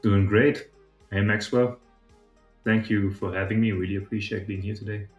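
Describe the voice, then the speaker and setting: A man speaks as an interview guest in a low voice. He is conversational and reflective, and the recording is noticeably roomy and echoey. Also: fairly even intonation, moderate pace, lo-fi sound, soft background music. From southern Germany, partly grew up in China. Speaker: podcast guest, startup founder. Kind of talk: casual interview answers.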